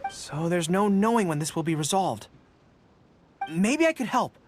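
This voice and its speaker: Earnest young male game general, lively pitch. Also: measured pace, a little hiss or hum. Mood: earnest, polite. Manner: earnest, polite, thinking aloud. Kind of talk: video game voice acting.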